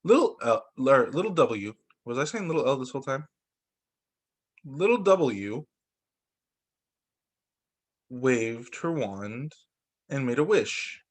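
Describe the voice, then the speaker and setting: Animated, slightly husky man reading storybooks. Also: medium pitch, slow, pauses often. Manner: expressive, acts out dialogue for young children. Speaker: teacher. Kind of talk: children's storybook read-aloud.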